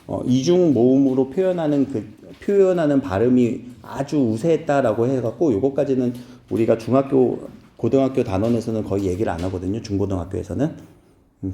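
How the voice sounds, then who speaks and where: A man's voice: mid-range voice, warm tone, reverberant. A man from Seoul teaching a class, asking his students questions and making playful asides. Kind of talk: classroom lecture.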